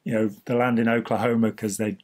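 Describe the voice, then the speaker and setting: Hoarse older man explaining. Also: lowish voice, little pitch movement, fast talker, darkish tone. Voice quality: husky. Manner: gestures freely while explaining. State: slightly hoarse. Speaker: host. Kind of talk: casual explanatory chat. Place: small indoor room.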